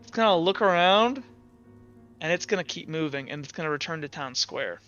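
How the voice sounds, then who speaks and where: A man improvising narration as a game master in a casual, halting way, pausing between phrases. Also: fairly high pitch, animated, a bit bright. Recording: dull lo-fi audio with background music.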